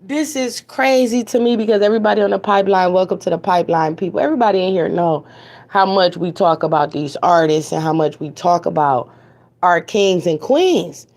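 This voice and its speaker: A woman hosting an online talk show, hoarse and raspy from a cold with a stuffy nose, chatting casually and repeating herself to her viewers. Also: on the low side, a little fast, darkish tone. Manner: chatty, repeats phrases, talks straight to viewers. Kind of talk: casual livestream chat. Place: home with TVs on in the background.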